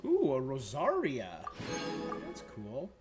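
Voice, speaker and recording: A man's voice: medium pitch, huge pitch swings, slow, loud music behind the voice. A man doing casual live gaming commentary as a streamer, thinking aloud in short bursts. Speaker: game streamer.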